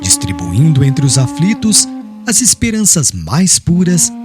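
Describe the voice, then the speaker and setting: A male narrator reads literary prose solemnly and formally, with dramatic phrasing. His voice sits a little high and moves widely in pitch. Music plays clearly underneath. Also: wildly swooping pitch, measured pace. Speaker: audiobook narrator.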